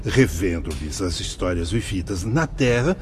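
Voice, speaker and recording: An actor playing a learned, patient spirit guide in a radio drama, speaking formally, emphatically and didactically. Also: male voice, low-pitched, sing-song, a little slow, slight background noise. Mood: patient, firm. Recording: music behind the voice.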